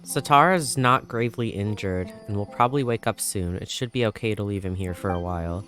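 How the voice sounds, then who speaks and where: A man hosting a let's play, reading game text aloud and reacting in a casual, animated manner. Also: lowish voice, a little fast. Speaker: gaming video host. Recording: faint music behind.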